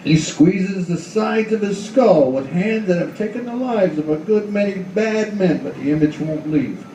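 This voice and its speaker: A man narrates a noir radio play in a high, mellow voice. His pitch moves in lively swings. He is recorded from some distance in an echoey room. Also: measured pace. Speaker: narrator, author reading his story. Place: live reading in a roomy venue. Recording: echoey room, distant mic, faint noise.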